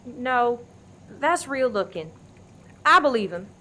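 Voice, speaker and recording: A woman's voice: on the high side, big pitch swings, slow pace, pushed and bright, exaggerated voice. A woman acting as a bossy, threatening interrogator in a comedic radio play, barking orders in a commanding way. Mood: businesslike.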